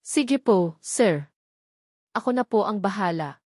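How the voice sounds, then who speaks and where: An earnest young working woman from a romance storybook, voiced by a woman narrator. She is smitten and quick to get defensive and snappy. The sound is dry. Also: mid-range voice, animated, a little mellow, no echo. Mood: obedient. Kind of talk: storybook character dialogue.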